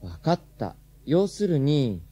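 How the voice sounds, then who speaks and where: A man's voice: a little high, very animated, takes it slowly, mellow, husky, no echo, heavily acted. A man playing a breezy, cocky young pizza seller from a rich family in a Japanese radio drama. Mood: friendly, cocky.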